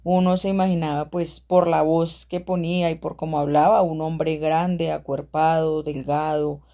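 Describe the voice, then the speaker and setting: A woman's voice: low-pitched, level tone, moderate pace, dark tone. A woman in her forties with a Colombian accent, casually recalling her memories as an interviewee. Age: 40s. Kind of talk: casual recollection.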